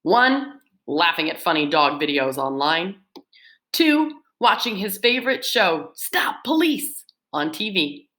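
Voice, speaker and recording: Lively, expressive woman teacher. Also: slow. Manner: lively, expressive read-aloud with swooping pitch. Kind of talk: reading book summaries aloud to students.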